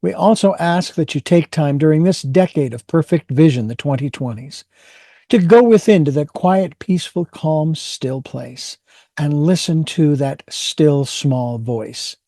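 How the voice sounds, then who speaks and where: A male show host with a somewhat high but mellow, soft-edged voice. He rambles a little, restarting sentences and filling gaps with "uh". The sound is close and dry, with no room around it. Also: unhurried, dry recording. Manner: rambling with many fillers and restarts. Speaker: podcast host. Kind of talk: hosting an interview show.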